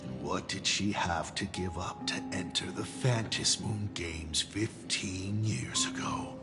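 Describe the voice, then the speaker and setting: A man's voice: sits low, slow pace. A man playing a sardonic, grandiose showman villain in a video game, speaking with pompous, mocking drama over music. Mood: bitter, mocking.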